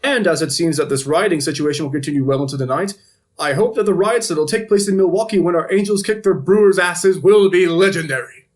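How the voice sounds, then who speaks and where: A man's voice: high-pitched, a little fast, natural voice. A man playing a flippant TV news anchor in an audio drama, reading reports with wry, editorializing asides. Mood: opinionated, upbeat. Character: flippant male TV evening news anchor covering a zombie outbreak.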